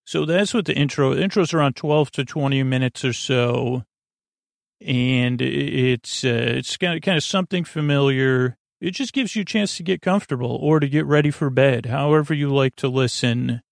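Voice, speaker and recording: A man hosting a bedtime podcast, rambling in a halting, meandering way and often trailing off mid-thought. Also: medium pitch, no room sound, studio quality. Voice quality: creaky, gravelly. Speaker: podcast host.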